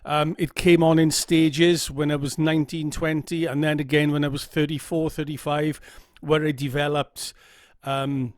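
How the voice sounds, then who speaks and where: A man's voice: a little high, little pitch movement, normal speed, hardly any pauses, no echo, clean studio sound. A middle-aged man with a Welsh accent telling his own story to camera, earnest and candid, often checking in with "okay?". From Wales. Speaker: vlogger. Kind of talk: personal story told to camera. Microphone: camera mic.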